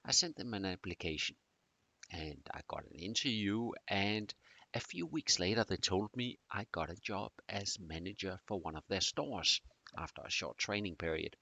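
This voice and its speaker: A middle-aged man from Denmark with a Danish accent, introducing a course in a halting way with many fillers. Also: low voice, animated, gravelly, pushed and bright, no room sound. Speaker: teacher.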